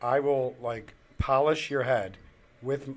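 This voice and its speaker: A brash man trading wisecracks as a guest on a casual podcast. His voice sits at an ordinary middle pitch. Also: steady tone, slow.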